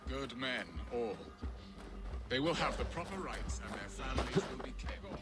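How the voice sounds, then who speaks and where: A man's voice: medium pitch, expressive, moderate pace, natural voice. Theatrical Saxon lord played by a man, music behind. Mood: sombre. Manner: theatrical, flowery, musing speech. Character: melodramatic, self-deprecating Saxon lord, wistful and romantic. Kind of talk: video game voice acting. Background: game music.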